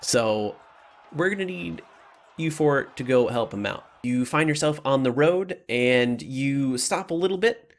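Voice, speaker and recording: A man improvising tabletop game narration as game master, informal and halting in delivery. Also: mid-range voice, expressive.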